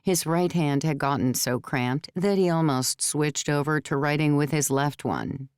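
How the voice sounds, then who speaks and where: A woman's voice: deep voice, measured pace, darkish tone, smooth, no room sound, clean studio sound. A woman reading scripted third-person fiction narration as an audiobook narrator.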